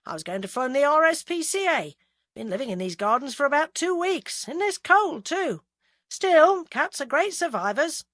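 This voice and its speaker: A woman's voice: sits high, sing-song, normal speed, a bit bright, no room sound, pitched above the performer's own voice. Chatty, sympathetic male passer-by, voiced by a woman narrator, dry close sound. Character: chatty man walking his dog.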